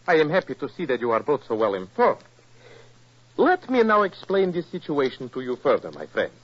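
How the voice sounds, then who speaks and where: Political emissary, grand and insistent, high-ish animated voice, muffled old radio drama sound. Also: male voice, fairly quick. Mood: earnest, urgent. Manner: formal, grandiloquent, stilted phrasing. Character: florid, emotional, insistent male foreign political emissary. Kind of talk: 1940s radio acting. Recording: muffled, band-limited old radio recording.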